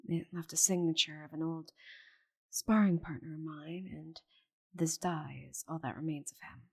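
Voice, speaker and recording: Woman voicing a breathy, low, proud giant dragoness. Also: steady tone, moderate pace, full character voice. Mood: grave, puzzled. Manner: breathy, haughty, sighing, slipping into whispers. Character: proud, stubborn giant female dragon, secretive and possessive. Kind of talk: intimate ASMR roleplay acting.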